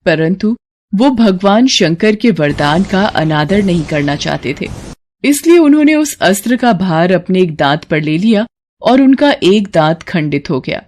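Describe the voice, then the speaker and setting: A woman's voice: fairly low pitch, expressive, a little slow, a little mellow, slight background noise. A woman narrating a scripted devotional story as a storyteller.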